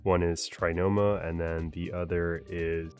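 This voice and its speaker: A man talking casually as a travel vlogger, relaxed and chatty with frequent fillers. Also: low voice, a little slow, soft background music. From United States. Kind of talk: casual travel vlog narration.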